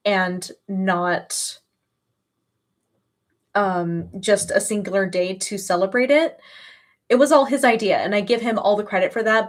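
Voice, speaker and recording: Chatty, gushing woman in her early thirties. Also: medium pitch. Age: early 30s. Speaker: livestream host. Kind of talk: casual livestream chat.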